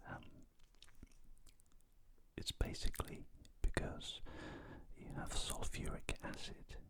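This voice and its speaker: A cooking host whispering a gentle, unhurried ASMR narration in a breathy, unvoiced voice, with pauses between phrases.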